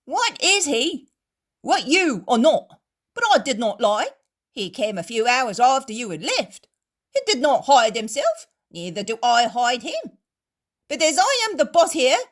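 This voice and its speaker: A woman voicing a robust male innkeeper who loudly and sarcastically taunts his visitors. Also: high-pitched, voice pushed up, heavily acted. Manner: loud, defiant, sarcastic taunting. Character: robust, brash male innkeeper, fearless and mocking.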